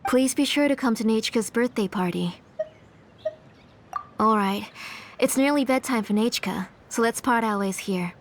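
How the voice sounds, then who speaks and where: A woman's voice: fairly high pitch, normal speed, long pauses, lightly acted. A woman playing a polite, protective but controlling aunt in a video game, composed and formal. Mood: gracious.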